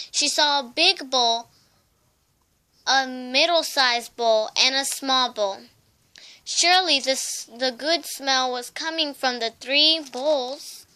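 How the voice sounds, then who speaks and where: A woman's voice: high voice, sharp tone. A young woman reading a children's storybook aloud, carefully, occasionally stumbling and repeating words. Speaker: storybook reader.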